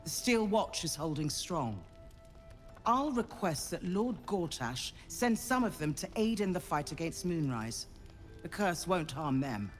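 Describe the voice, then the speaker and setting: An earnest fantasy military counsellor, speaks with stately resolve. His voice is on the high side and moves expressively through each phrase. It rings in a long reverb. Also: sing-song, a little slow, soft background music. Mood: resolute. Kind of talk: dramatic video game acting.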